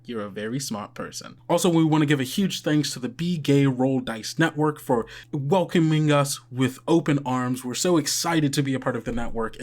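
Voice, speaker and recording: Man, podcast host, medium pitch, casual and chatty, rambling, self-correcting, jokey. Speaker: podcast host and game master. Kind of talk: casual, chatty podcast housekeeping and game narration.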